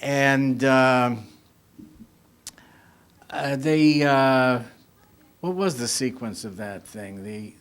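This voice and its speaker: A man's voice: medium pitch, very slow, long pauses. A man being interviewed, casually reminiscing and searching for his words. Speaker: comedy writer being interviewed.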